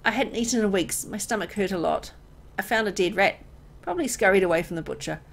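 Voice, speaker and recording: A woman reading a horror visual novel aloud as dramatic, expressive narration, as host of a gameplay video. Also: mid-range voice, quick pace, creaky, a little hiss or hum.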